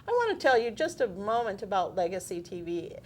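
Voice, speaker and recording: A woman hosts a faith-based television talk show from a studio. She speaks at a middling pitch with slow, reflective phrasing, and a faint low rumble sits underneath. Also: sing-song, a little mellow. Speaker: show host.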